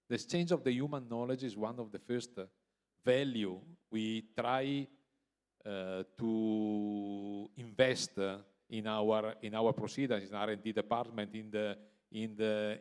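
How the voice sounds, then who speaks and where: A man from Italy speaks on a forum panel, halting as he looks for his words. His voice is smooth and resonant at a middle pitch, with long reverb from a large event hall around it. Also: darkish tone. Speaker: industry representative, forum panelist. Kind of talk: panel discussion answer.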